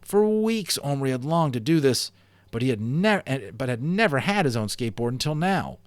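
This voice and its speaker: A man reading a children's novel aloud at home, telling it expressively and dropping low and soft at the grim moments. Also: big pitch swings, moderate pace, no room sound. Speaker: amateur voice actor and audiobook reader.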